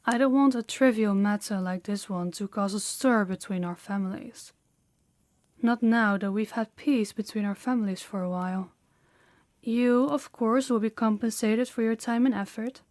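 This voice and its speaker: A woman playing a dominant, stern mafia boss in an audio roleplay, speaking formally and deliberately with authority. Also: mid-range voice, darkish tone, dry recording, natural voice.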